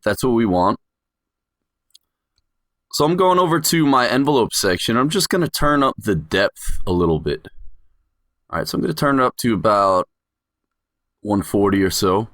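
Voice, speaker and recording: A man's voice: fairly low pitch, expressive, moderate pace, long pauses, a bit bright, no room sound, studio quality. A man giving an explanatory voice-over tutorial as a music production instructor.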